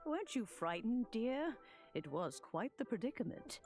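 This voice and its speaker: A woman's voice: on the high side, sing-song, moderate pace, darkish tone, soft background music. A woman playing a wise, kindly lady who speaks formally and persuasively in a video game. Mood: teasing. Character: wise, serene older lady, gently persuasive. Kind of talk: scripted video game voice acting.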